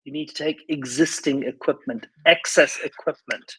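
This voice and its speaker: Husky guy on a live audio panel, pitch a bit high, keeps hammering his point, no no no. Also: steady tone, moderate pace, fairly bright tone, poor audio. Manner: insistent, repeats words for emphasis. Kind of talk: emphatic political argument.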